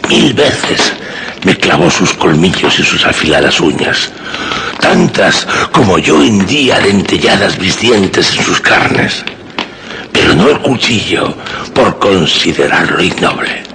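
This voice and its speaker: A grave, proud uncle recounts his tale. His low, creaky voice moves very slowly with dramatic pauses, over a clearly noisy recording. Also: big pitch swings, full character voice. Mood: grave, intense. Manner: slow, dramatic, pausing storytelling. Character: solemn, proud uncle recounting killing a wolf. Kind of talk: suspense and horror radio drama acting.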